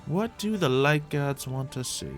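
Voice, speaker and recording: A man commentating on his own video game play, thinking aloud in an animated way with playful exclamations. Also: medium pitch, unhurried. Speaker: gaming YouTuber playing a Minecraft roleplay series. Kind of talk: gameplay commentary. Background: background music.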